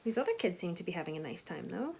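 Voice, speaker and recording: A woman reading a picture book aloud to children in an expressive way, with chatty asides to her young listeners. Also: fairly low pitch, fast talker, vocal fry, heavy background noise. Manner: animated, expressive storytelling with questions to listeners.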